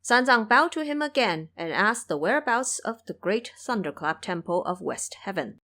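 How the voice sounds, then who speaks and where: Woman, audio drama narrator, scripted storytelling, animated pitch, expressive. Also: moderate pace.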